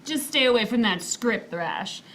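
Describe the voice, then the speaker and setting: An actress playing a menacing femme fatale who bosses henchmen in a noir radio play. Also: female voice, fairly high pitch, lively intonation, unhurried, fairly bright tone, a little hiss or hum. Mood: cool threat.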